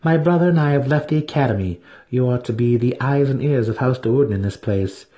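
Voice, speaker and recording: A man voicing an imperious female dark elf high priestess in an audiobook fantasy tale, with a haughty, commanding manner. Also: brisk, lightly acted. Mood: sharp, commanding.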